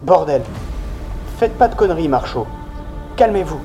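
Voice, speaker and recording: A man's voice: mid-range voice, expressive, normal speed, faint music behind, voice pushed up, lightly acted. A man playing a stern lieutenant. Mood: urgent. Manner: firm, clipped commands. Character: commanding male lieutenant, stern and authoritative.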